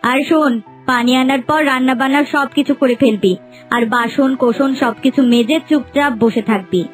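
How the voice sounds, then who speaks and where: A woman voicing a haughty, cruel, scheming young wife in a cartoon, bossy and sharp-tongued. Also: sits high, normal speed, soft background music, close to an everyday voice. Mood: bossy, scornful.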